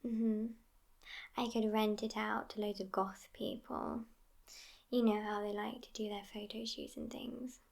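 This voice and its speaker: A woman voicing a sassy, affectionate immortal vampire girlfriend in an ASMR roleplay, hushed and often whispering, with a languid delivery. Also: medium pitch, flat delivery, measured pace, vocal fry. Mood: wry, relaxed.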